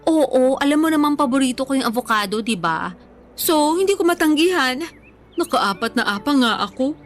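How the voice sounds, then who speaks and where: Actress as a high-voiced, stubborn young woman. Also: expressive, moderate pace, soft background music. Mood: content, playful. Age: 30s. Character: playful stubborn food-loving woman of thirty telling of a trip. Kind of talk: casual conversational radio drama dialogue.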